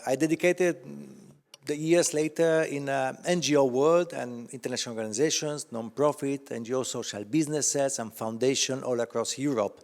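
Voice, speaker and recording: A middle-aged man with an Italian accent introducing himself informally to open a panel as its moderator, speaking haltingly. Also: medium pitch, a little mellow. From Italy. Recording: echoey hall with long reverb.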